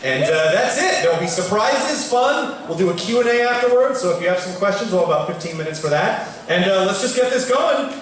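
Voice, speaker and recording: A man hosting a live event, addressing an audience as an excited showman-like announcer. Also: sits high, brisk. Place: large echoey venue with live audience. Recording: echoey hall, distant mic, noisy crowd. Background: crowd noise and room ambience.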